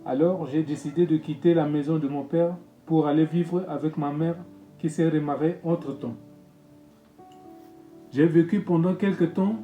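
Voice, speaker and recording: A man, a pastor reading his own testimony in a solemn, measured way. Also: a little high, mellow, highs cut off. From Congo-Brazzaville. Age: 50s. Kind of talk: read personal testimony. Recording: echoey room with music bed.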